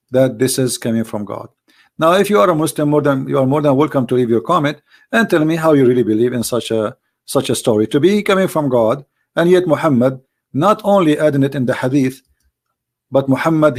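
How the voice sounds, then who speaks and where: A man's voice: mid-range voice, a little mellow. A man hosting a religious video, delivering an argumentative monologue in non-native English phrasing. He speaks emphatically, repeating points and asking rhetorical questions.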